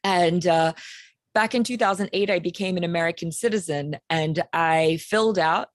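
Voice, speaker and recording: A woman's voice: on the low side, moderate pace, no room sound, clean studio sound. A woman in her forties with an Australian accent, talking chattily as a composer on a panel over a video call, with many ums and restarts.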